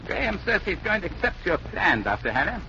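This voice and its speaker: A sullen male henchman, his highish voice swinging wildly up and down with menace. It is old radio drama, dulled and buried in noise. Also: big pitch swings, fairly quick, gravelly, heavily acted. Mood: surly. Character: surly, thin-skinned male Nazi henchman and killer. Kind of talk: 1940s radio drama acting. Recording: muffled old radio recording with heavy noise.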